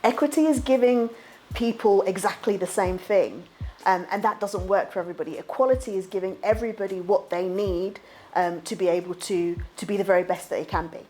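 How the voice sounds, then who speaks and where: A woman's voice: medium pitch, a little fast, dark tone, slight background noise. A woman explaining a point firmly in an interview. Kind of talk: interview testimony.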